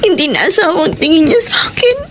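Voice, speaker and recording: A woman's voice: very high-pitched, sing-song, fast, background noise. A woman playing a hurt, anxious young wife in a Filipino radio drama, with an emotional, plaintive delivery. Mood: sad, confiding. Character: distressed young wife, hurt and anxious about her marriage. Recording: music bed behind the voice.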